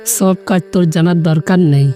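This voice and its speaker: Guy playing moody young village boyfriend, really mellow dark voice, audio romance drama, bit of music behind. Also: high voice, normal speed, natural voice. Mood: sullen, jealous. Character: brooding, jealous young village man in love.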